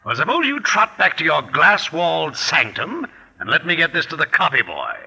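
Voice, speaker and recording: Suave vindictive American critic, flippant, very animated pitch, piercing bright voice, old radio drama. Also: male voice, moderate pace, gravelly, slightly rough, exaggerated voice, poor audio. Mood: defiant, mocking. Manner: flippant, clipped, sarcastic. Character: suave, sardonic, vindictive male New York drama critic. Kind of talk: 1940s radio drama acting. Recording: vintage 1940s radio broadcast.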